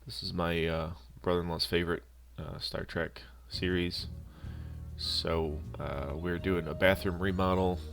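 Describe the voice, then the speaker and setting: A man narrating a DIY prop-building tutorial in a casual, unscripted and halting way. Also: sits low, level tone, a bit bright. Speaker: DIY prop-making video host. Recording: instrumental music behind the voice. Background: music.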